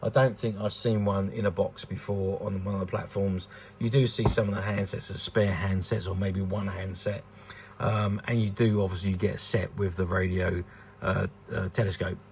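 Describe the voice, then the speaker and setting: A man's voice: low-pitched, little pitch movement, normal speed, a little mellow, a little hiss or hum. A British man in his sixties giving rambling, hesitant, unscripted commentary on a collectable, often repeating words. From United Kingdom. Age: 60s. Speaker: vintage toy dealer presenting a collectable. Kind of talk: unscripted informal product commentary.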